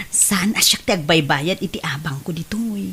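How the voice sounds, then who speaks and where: A woman's voice: fairly low pitch, measured pace, sharp tone, slightly rough, exaggerated voice. A woman with an Ilocano Filipino accent playing a breezy, worldly young beer-house waitress and friend in an audio drama. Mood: breezy, welcoming.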